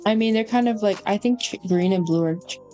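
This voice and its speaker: Mellow, low-key young woman guest. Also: soft background music. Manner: measured, hesitant, low-key. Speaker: guest graphic designer. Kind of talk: casual opinion chat.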